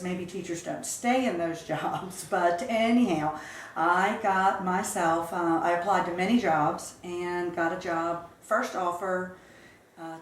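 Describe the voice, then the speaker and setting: A woman in her fifties, originally from South Carolina, recalls her life in an interview in a measured, reflective manner. Her voice sits a little low. The recording is roomy and echoey, with a faint hiss of ambient noise behind it. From Charleston, South Carolina. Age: 50s. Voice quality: measured, reflective, with occasional fillers. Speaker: interview guest. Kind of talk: recounting life story in an interview.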